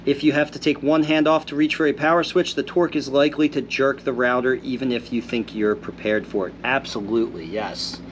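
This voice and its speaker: A man's voice: medium pitch, steady tone, fairly quick, hardly any pauses, muffled recording, slight background noise. A man hosting a viewer Q&A, still a little congested after being ill, reading out comments and answering them in an easygoing, thoughtful way. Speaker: host answering viewer questions.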